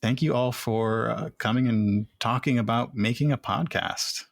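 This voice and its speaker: A man hosting a casual podcast roundtable and handing turns to his guests in a relaxed way. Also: medium pitch, normal speed, creaky, dry recording. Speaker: roundtable host.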